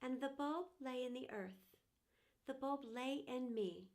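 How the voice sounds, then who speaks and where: Proud lil porcelain teapot lady reading it for kids, high voice, grand and emphatic, bit wistful. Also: unhurried, a little mellow. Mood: wistful, wondering. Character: proud, self-important porcelain teapot, later humbled and wistful. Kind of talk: children's storybook character narration.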